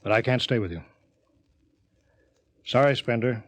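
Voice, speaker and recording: An actor playing a steady, reasoning rocket crew captain in a 1950s radio drama, terse and measured. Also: male voice, mid-range voice, lightly acted. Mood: grave, regretful. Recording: old 1950 radio recording.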